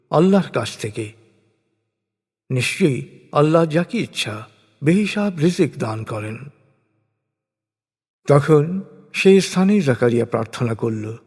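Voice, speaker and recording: A man reading scripture in a solemn, reverent scripted narration, pausing deliberately between phrases. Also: on the high side, a little mellow. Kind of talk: solemn scripted religious recitation in prose. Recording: long reverberant hall-like echo.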